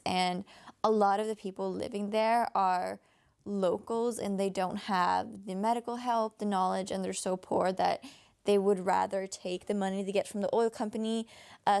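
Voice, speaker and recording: A woman from Denmark, Danish by first language, talks through a book in a loose, rambling way, full of fillers and restarts. Her voice is raspy with a gravelly fry, and she sounds tired, at a middle pitch. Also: darkish tone. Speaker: book vlogger. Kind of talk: casual book review vlog.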